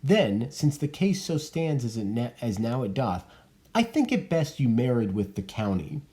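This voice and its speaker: A man reading the part of an older woman servant who brisk and matter-of-factly persuades. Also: mid-range voice, very animated, dark tone, lightly acted. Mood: persuading. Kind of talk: dramatic reading of a play.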